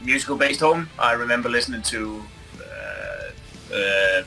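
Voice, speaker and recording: A man in his late twenties from Denmark, a band member, answering thoughtfully with pauses. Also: medium pitch, wildly swooping pitch, gravelly, sharp tone, faint music behind, poor audio. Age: 20s. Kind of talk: casual interview answers.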